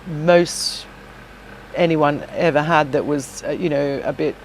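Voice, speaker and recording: Middle-aged woman, low-pitched, chatty guest, loose conversational delivery, faint noise under the voice. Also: fairly even intonation, slow pace, poor audio. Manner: chatty and warm, many fillers and restarts. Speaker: podcast guest, shop owner and former singer. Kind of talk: casual interview chat.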